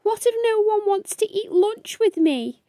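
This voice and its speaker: Woman voicing an anxious young beaver boy, high-pitched. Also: unhurried, dry recording, studio quality. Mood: worried. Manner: expressive, chanting rhymes and fretting. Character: anxious young male beaver, talking himself into courage. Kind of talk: children's storybook read-aloud.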